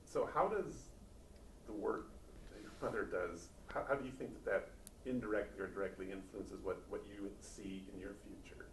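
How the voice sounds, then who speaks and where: Male interviewer, deep voice, off-mic in an echoey room. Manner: halting, repeats words. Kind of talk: interview questions. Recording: distant, roomy, faint noise.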